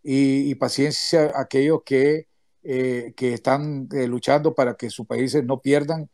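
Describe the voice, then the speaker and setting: A man giving closing remarks on a remote audio call, speaking in a halting way with many restarts and hesitations. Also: mid-range voice, steady tone, darkish tone, dry recording, clean studio sound. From Nicaragua. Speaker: activist speaker, former political prisoner. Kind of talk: closing remarks in an online audio chat room.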